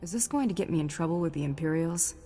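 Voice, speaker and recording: An actress playing a cocky, cold-blooded bounty hunter in a video game, with terse, dry swagger. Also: female voice, low voice, expressive, fast talker, mellow, husky. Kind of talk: scripted game character acting. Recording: long reverb, faint music.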